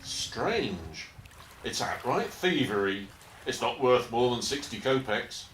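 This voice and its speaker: Man acting a deadpan dockside cop, folksy, lively pitch, roomy echo, radio play. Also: takes it slowly, a little hiss or hum. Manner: deadpan, bargain-minded, folksy. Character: bored, matter-of-fact male dockside police officer.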